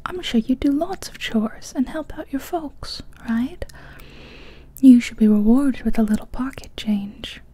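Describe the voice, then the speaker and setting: A woman voicing a kindly, knowing old male candy shopkeeper in a soft whisper. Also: high-pitched, lively intonation, measured pace, breathy edge, full character voice. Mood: genial. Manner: whispered, gentle, reassuring.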